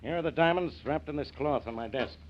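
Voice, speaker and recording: An actor playing a short-tempered, blustering police inspector in a 1940s radio drama, with an emphatic delivery. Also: male voice, a little high, fairly quick, slight background noise, natural voice. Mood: gloating, triumphant. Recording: dull old 1940s radio recording.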